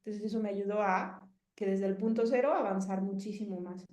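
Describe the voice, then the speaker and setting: A woman in her thirties with a Mexican Spanish accent talks through a casual testimonial interview. She rambles, stacks up fillers and restarts her sentences. Her voice sits in a middle pitch and carries a roomy echo. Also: steady tone, a little fast, dark tone. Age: 30s.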